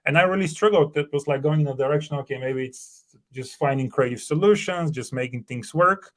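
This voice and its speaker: A man's voice: on the high side, fairly quick. A man in his thirties whose first language is Bulgarian, an earnest startup co-founder thinking aloud with many fillers and restarts on a video call from home. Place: home room.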